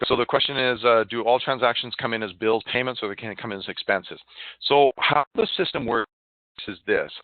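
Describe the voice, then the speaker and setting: A man giving a casual live software demonstration, chatty and informal, muttering to himself as he works. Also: medium pitch, fairly quick, dry recording. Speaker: software demo presenter. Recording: narrow, phone-like line, dry.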